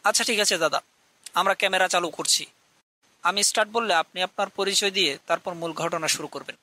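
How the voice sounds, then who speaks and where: A man's voice: high-pitched, quick pace, bright, forceful tone, heavily acted. A man voicing an eager young ghost-hunting TV reporter in a scripted cartoon. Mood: keen, formal. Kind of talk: scripted cartoon dialogue and show presenting.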